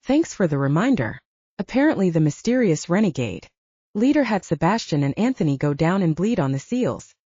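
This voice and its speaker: Husky woman reading an audiobook, dark mellow tone, kinda muffled lo-fi recording. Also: on the low side, big pitch swings, fairly quick, no room sound. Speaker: audiobook narrator. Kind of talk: scripted first-person fiction narration with character dialogue.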